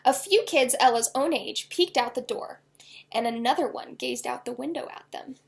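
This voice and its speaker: Higher-pitched woman reading a children's novel. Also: moderate pace, gravelly. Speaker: author reading own novel aloud. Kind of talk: expressive children's book reading.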